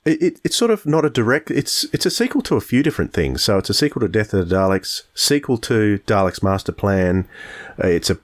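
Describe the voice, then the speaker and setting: A man co-hosting a podcast in casual review chat, talking in a measured way and often restarting his phrases. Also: on the low side, very animated. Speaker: podcast co-host.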